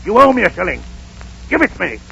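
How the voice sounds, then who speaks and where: A mean old miser boss, highish voice, sneering and curt, old radio drama recording. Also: male voice, huge pitch swings, brisk, vocal fry, sharp tone, a little hiss or hum, exaggerated voice, low-quality recording. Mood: cold, stern. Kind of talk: 1930s radio acting.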